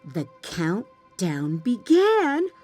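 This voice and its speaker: A woman reads a picture book to children with dramatic emphasis. Her delivery is extremely slow and very animated. Faint music plays behind her storytelling. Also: mid-range voice. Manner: dramatic, deliberate emphasis for young listeners. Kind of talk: children's storybook read-aloud.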